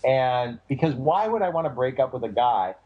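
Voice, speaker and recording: A man with a big booming voice, a dating coach giving emphatic, animated advice on a call. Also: moderate pace, husky, lo-fi sound. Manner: animated, emphatic, repeats phrases. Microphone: call audio.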